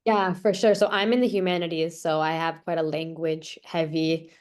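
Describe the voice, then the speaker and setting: A woman's voice: deep voice, little pitch movement, moderate pace, darkish tone. A young woman speaking casually as a student panelist on a video call, explaining things informally. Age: young adult.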